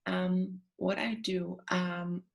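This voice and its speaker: Young female guest with a Czech accent; low, flat, slightly creaky voice, relaxed and chatty. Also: drawn-out words. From Czech Republic. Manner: relaxed, many ums, chatty. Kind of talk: interview conversation.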